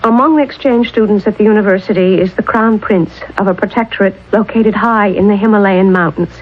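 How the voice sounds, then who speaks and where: A woman's voice: mid-range voice, a little fast, close to an everyday voice. A woman playing an earnest, precise lady professor in a 1970s radio drama. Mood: earnest, explanatory. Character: earnest, overwrought lady agriculture professor accused of murder. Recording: muffled, band-limited old radio broadcast.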